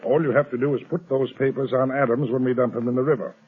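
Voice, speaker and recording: A man's voice: mid-range voice, steady tone, quick pace, breathy edge, close to an everyday voice. An actor playing a scheming, ruthless swindler in a 1930s radio drama, bullying and sneering as he gives orders. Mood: commanding. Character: scheming ruthless male swindler and would-be killer. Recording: tinny lo-fi 1930s radio broadcast.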